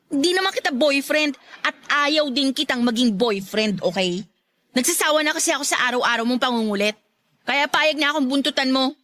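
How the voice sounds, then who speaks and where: A woman's voice: sits high, fairly bright tone. An actress playing a feisty, irritable young woman with hidden affection in a Filipino radio drama, blunt and scolding. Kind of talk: Tagalog radio drama acting.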